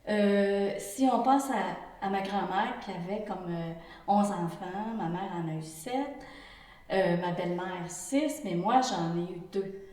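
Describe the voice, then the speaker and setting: A Québécois woman in her sixties speaking in a group interview, earnest and halting, often searching for her words. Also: mid-range voice, a little mellow. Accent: Québécois French. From Quebec. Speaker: interview participant. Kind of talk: reflective group interview testimony. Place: indoor room with a pump running. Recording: very echoey room, distant mic.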